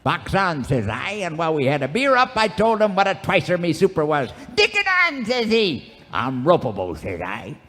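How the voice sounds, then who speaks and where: An actor playing a cantankerous, boastful old cowboy sidekick, spinning folksy, rambling tales in a live old-time radio comedy. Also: male voice, fairly high pitch, huge pitch swings, normal speed, hardly any pauses, fairly bright tone. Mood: animated, boastful. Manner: folksy, rambling, theatrical storytelling. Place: live radio theater stage. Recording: echoey theater stage, music behind.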